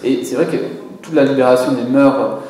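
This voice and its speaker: A man's voice: mid-range voice, steady tone, moderate pace, mellow. A French man on a conference panel, speaking hesitantly and searching for his words. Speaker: conference co-speaker, magazine editor. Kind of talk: panel discussion. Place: conference hall. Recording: echoey hall with long reverb.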